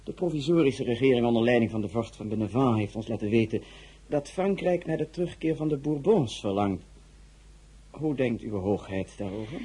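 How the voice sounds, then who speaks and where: Very husky, stately Tsar. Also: male voice, medium pitch, lively intonation, mellow, rough recording. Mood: probing, puzzled. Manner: formal, measured, stately. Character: suave, imperious Tsar of Russia, courtly and probing. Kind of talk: radio drama acting.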